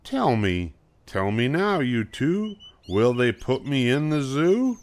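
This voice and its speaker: An older man voicing an eager, showy spotted animal in a picture book, with slow, emphatic, singsong delivery. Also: medium pitch, sing-song, a little hiss or hum. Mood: proud. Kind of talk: picture book read-aloud.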